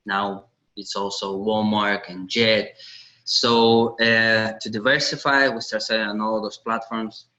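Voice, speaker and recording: A man. His voice is lowish but bright and forceful. He talks earnestly and haltingly in a casual interview, making small grammar slips as he goes. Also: flat delivery, muffled recording. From Latvia. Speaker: podcast guest, online seller.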